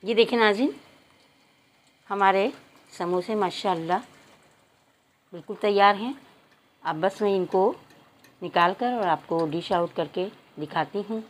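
A middle-aged woman with an Urdu accent calmly explaining a recipe step by step to viewers in a home kitchen. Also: medium pitch, unhurried, long pauses, low-quality recording. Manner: calm, instructive, addresses viewers as nazreen. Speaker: cooking channel host. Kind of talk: step-by-step cooking instructions.

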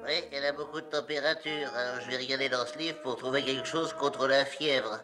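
A man's voice: medium pitch, steady tone, a little fast, music in the background, lightly acted. Man voicing an earnest, worried young duck. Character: dutiful young male duckling, worried for his mother. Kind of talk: French cartoon dubbing.

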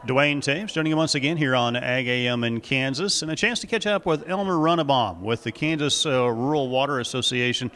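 A man's voice: medium pitch, fairly quick, few pauses, fairly bright tone. A middle-aged man, a farm radio host asking interview questions with frequent "uh" fillers. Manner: friendly broadcaster, leading questions with "uh" fillers. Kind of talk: broadcast interview. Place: indoor office. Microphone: handheld station mic.

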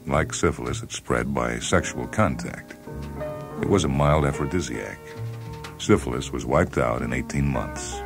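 A man narrating a short story in a measured, deliberate, dry deadpan way, as a scripted dramatic reading. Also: rumbling low, creaky. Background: background music.

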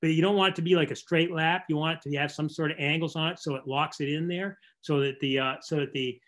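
An older man giving an informal slideshow talk over a video call, explaining in a halting way and often repeating words. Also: fairly high pitch, fairly even intonation, a bit bright. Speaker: barn restoration contractor giving a lecture. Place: indoor room, on a video call.

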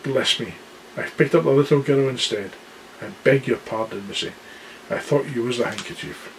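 A man's voice: medium pitch, moderate pace, a little hiss or hum, lightly acted, low-quality recording. A man voicing a huge, good-natured male giant who talks in a rustic, deferential and bumbling way.

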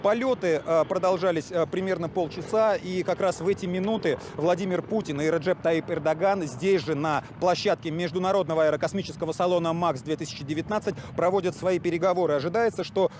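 A high-pitched man in his thirties delivers a live news report. He speaks haltingly, searching for words and repeating some. Faint outdoor noise sits behind him. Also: darkish tone. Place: outdoors at an airfield. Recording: outdoor location with background noise.

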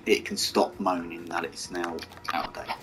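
Croaky low-voiced man. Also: level tone, moderate pace, noisy background. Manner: mumbling, talks to the game's vehicles. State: hoarse, croaky voice. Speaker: gaming channel host. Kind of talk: casual gameplay commentary. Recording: game music behind voice.

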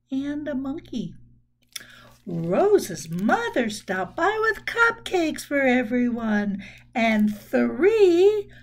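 An older woman in her sixties reads a children's book aloud as a storyteller, expressive and deliberate. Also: sits high. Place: small room at home.

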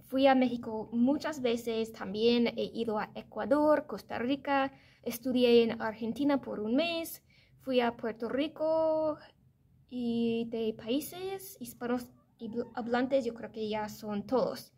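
A fairly high-voiced woman in her thirties with an American English accent, talking straight to the camera in a casual vlog. She often stops to correct her own words and gestures as she goes. The sound is that of a camera sitting on a desk in an indoor office. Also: takes it slowly. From United States. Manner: chatty, self-correcting, animated hand gestures. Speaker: vlogger. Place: office or classroom, indoors, medium room.

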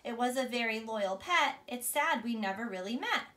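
A woman's voice: a little high, lively intonation, moderate pace, pushed and bright, far from the mic. A woman reading a storybook as a proud, plain-spoken little schoolgirl. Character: proud little schoolgirl annoyed by her copycat.

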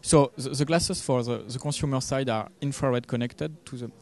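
A man, giving a product demo interview in halting English. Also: medium pitch, steady tone, a little mellow. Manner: halting non-native English. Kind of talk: trade show interview. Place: trade show booth indoors. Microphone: handheld interview microphone.

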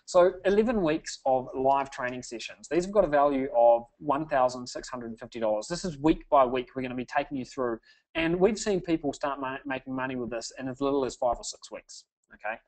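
A man's voice: mid-range voice, animated, brisk, a little mellow, poor audio. A man hosting a sales webinar, talking in an upbeat salesy way and often tagging "okay?". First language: English. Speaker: webinar host.

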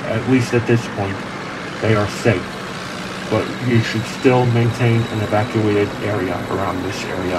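A man's voice: lowish voice, natural voice. A man playing a self-assured army captain giving an official field report in a radio play. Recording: clear vehicle-like background noise.

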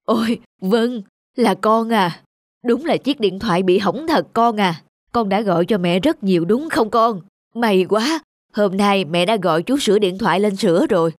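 A woman voicing a tender, trusting elderly mother in a cartoon, speaking slowly and affectionately. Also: mid-range voice, no echo, clean studio sound, close to an everyday voice. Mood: relieved, joyful. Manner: slow, drawn-out, affectionate. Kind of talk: cartoon dubbing.

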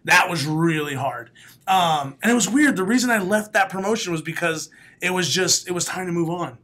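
A man in his late thirties, a comedian guest with a raspy voice, telling stories on a podcast in a small studio with a close mic. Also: high voice, normal speed. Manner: animated storyteller, laughs often, bursts into loud announcer calls. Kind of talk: casual podcast interview. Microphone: studio mic, headphones.

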